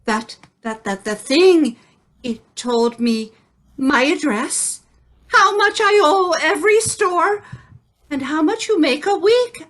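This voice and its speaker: A woman's voice: high voice, expressive, slow pace. A woman playing an upset, indignant wife, scolding and tearful, in an old-time radio comedy.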